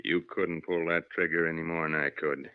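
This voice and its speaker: A man's voice: very deep voice, little pitch movement, normal speed, no room sound. An actor playing a stern, weary Old West lawman in terse, plain-spoken old-time radio western style. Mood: grim, resolute. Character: stern, resolute Old West lawman named George, weary and conscience-stricken. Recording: muffled, dull old radio recording.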